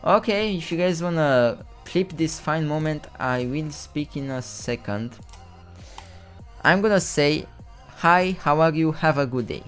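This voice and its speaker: A man's voice: fairly high pitch, animated, a little slow, fairly bright tone, faint music behind. A young man from Romania with a Romanian accent, whose first language is Romanian, hosting a casual live stream with rambling, self-correcting commentary and some stumbles over words.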